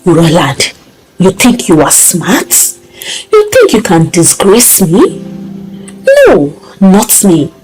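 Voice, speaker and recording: A woman's voice: fairly low pitch, huge pitch swings, slow pace, darkish tone, heavily acted, rough recording. A woman voicing a betrayed young woman in a dramatic story, controlled and intense, with bitterness under her composure. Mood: bitter resolve. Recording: music behind the voice.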